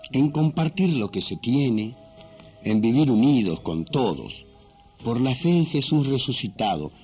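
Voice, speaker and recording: Slow, dark, husky male narrator. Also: mid-range voice. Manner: measured, didactic. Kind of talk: scripted religious narration.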